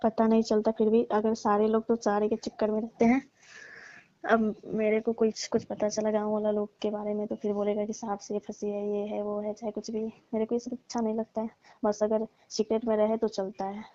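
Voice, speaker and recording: A woman's voice: medium pitch, completely flat delivery, normal speed, warm tone. A woman, pleading deferentially in a private phone conversation. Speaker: domestic worker on a call with employer. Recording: muffled, roomy phone call recording.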